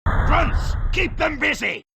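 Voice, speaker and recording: A man voicing a sardonic, menacing monster overlord in a video game, gravelly, sneering and theatrical. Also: very high voice, animated, slow pace, raised voice, noisy background, heavily acted. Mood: commanding. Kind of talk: video game villain acting.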